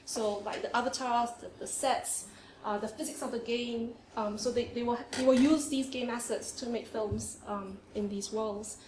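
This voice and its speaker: A woman with a Singaporean accent presents her research at a conference, lively, with many "um"s. Her voice sits a little high. The room is echoey, with faint hiss behind her. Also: moderate pace. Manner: lively, with laughs and fillers. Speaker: conference speaker. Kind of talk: academic presentation. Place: seminar room.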